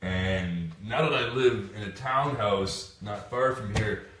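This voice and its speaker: A man's voice: on the low side, slow, a bit bright. A man hosting a casual podcast, rambling and swearing, laughing often. He is from Canada. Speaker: podcast host. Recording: roomy echo, distant mic.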